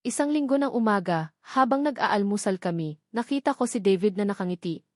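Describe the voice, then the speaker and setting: A woman's voice: medium pitch, a little mellow, dry recording, clean studio sound. A woman reading scripted, dramatic narration as a storyteller.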